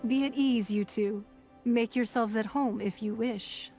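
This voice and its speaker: A woman playing a poised, shrewd and authoritative noblewoman in an anime video game, speaking in a formal, composed, measured way. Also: fairly high pitch, steady tone, darkish tone. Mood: gracious, sly. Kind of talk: anime video game voice acting, expository dialogue. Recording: music behind the voice. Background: game music.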